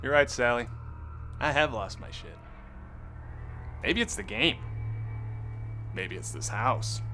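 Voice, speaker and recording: A man's voice: medium pitch, animated, slow pace, bright, forceful tone, faint music behind. An actor playing a cocky, theatrical man tripping on mushrooms in a comedic audio drama. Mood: unhinged. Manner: theatrical, declamatory.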